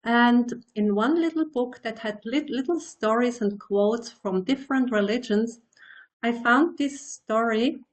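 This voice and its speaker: A woman in her sixties from Switzerland speaks in an unhurried, measured way. Her pitch sits in a middle range. She answers reflectively and stops now and then to find the right word. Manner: slow, thoughtful, searching for words. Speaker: guest, meditation teacher.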